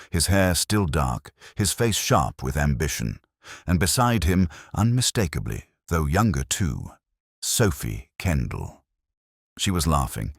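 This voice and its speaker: A man reading a scripted first-person detective story in a measured, literary, brooding manner. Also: a bass voice, husky, no echo, studio quality. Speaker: narrator.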